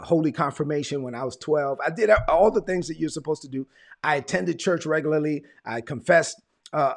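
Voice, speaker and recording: A middle-aged American man giving an informal, conversational sermon of personal testimony, telling it like an animated story and repeating phrases for emphasis. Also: a little high. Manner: animated storytelling, acts out quoted dialogue, conversational.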